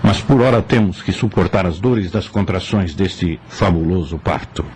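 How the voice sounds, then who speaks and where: A man's voice: sits low, moderate pace. A man giving solemn, oratorical scripted narration for a radio drama.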